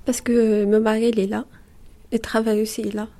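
A young woman from Algeria, not a native speaker, gives short answers in basic sentences. Her voice is a little high and stays flat in pitch, often dropping quiet. The tone is mellow. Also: normal speed. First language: not French. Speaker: interviewee, French learner.

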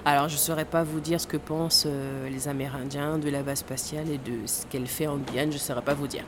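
A woman's voice: low voice, fairly even intonation, brisk, background noise. A woman in her thirties from the Caribbean who works as a tour guide, explaining with frequent fillers and occasional laughs. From born in Guadeloupe, raised in French Guiana. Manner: explanatory, hesitant with many fillers, laughs now and then. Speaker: tour guide at a space center. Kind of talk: interview answers.

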